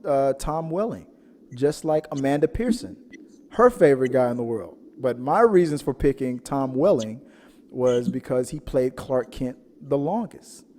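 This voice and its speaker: A man hosting a casual pop-culture show, telling stories in a rambling, animated way. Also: medium pitch, a little slow, slight background noise. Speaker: podcast host. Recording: reverberant, echoing room sound.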